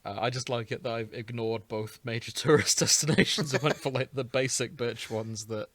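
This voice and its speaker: A man's voice: medium pitch, fairly even intonation, normal speed, fairly bright tone, no room sound. A British man hosting a podcast, chatting casually in a wry, self-deprecating way. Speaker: podcast host. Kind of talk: casual chat.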